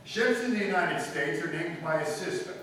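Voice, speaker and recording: A man playing a clever male amateur detective in a live old-time radio drama, crisp and lecturing, building to emphatic points. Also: a little high, expressive, normal speed, fairly bright tone. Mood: explaining. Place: live stage performance in a hall. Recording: echoey hall from far off, faint hiss.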